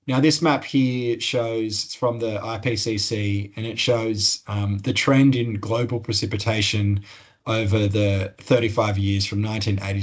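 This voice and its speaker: An Australian man with a fairly low voice presents an online seminar as an expert. He explains things in plain, informal language, feeling his way through sentences with some hesitation. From northern New South Wales, Australia. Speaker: climate expert presenting a webinar. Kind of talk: explanatory webinar presentation with slides.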